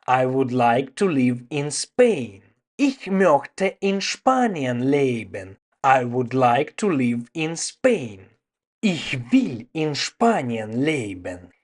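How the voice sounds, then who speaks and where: Very slow, deliberate language-drill voice. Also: medium pitch, a little mellow. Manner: slow, deliberate, clearly spaced phrases for learners. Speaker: language teacher. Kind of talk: slow scripted language drill, repeating each phrase.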